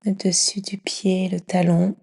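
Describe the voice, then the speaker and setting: A woman leading a guided yoga nidra meditation, speaking softly and soothingly and often trailing into a breathy whisper. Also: fairly low pitch, little pitch movement, measured pace. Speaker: yoga nidra teacher guiding a session.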